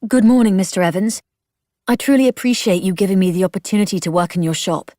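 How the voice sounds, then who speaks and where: A woman's voice: mid-range voice, fast talker, warm tone, dry recording, studio quality, pitched above the performer's own voice, close to an everyday voice. A woman voicing a polite, earnest, slightly nervous young woman.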